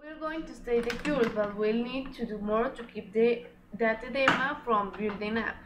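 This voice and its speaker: Clinical, plain-spoken cancer doctor young woman acting, slightly high voice, amateur noisy student production. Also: moderate pace, close to an everyday voice. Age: teenager or young adult, high school student. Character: matter-of-fact female oncologist giving serious news. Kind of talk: amateur student book dramatization. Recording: amateur recording with clear background noise.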